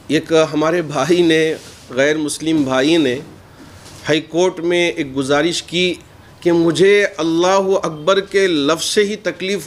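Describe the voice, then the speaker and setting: A man delivers a statement in the role of a cleric. His wording is formal and his manner earnest, as if addressed to the news media. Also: a little high. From India. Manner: earnest, formal Urdu-laced speech.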